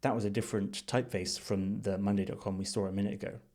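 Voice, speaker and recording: Male reviewer, lowish voice, unscripted walkthrough delivery, measured and thoughtful, as if working it out while talking. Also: steady tone, mellow. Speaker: design reviewer. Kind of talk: unscripted screen-share commentary.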